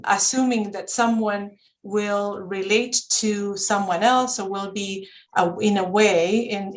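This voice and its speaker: A woman whose first language is Spanish, speaking on a video call at a medium, everyday pitch. She talks in a reflective, conversational way, pausing to search for words and starting phrases over as she feels her way toward what she means. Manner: thoughtful, searching for words with many restarts. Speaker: counselor speaking on a panel.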